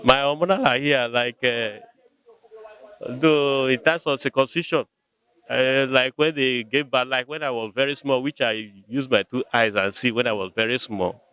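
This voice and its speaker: A man's voice: medium pitch, normal speed, sharp tone, clear, resonant tone, no room sound. A Nigerian man answering interview questions about his community, hesitant, often repeating words while explaining patiently. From Nigeria, Rivers State. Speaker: local community member interviewed. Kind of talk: conversational answers.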